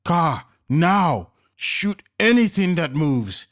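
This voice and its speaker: A man's voice: fairly high pitch, big pitch swings, slow pace, fairly bright tone, pitched above the performer's own voice, exaggerated voice. A man narrating a storybook, voicing a hardened male crime boss who barks urgent, cursing commands under gunfire. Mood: shouting urgently.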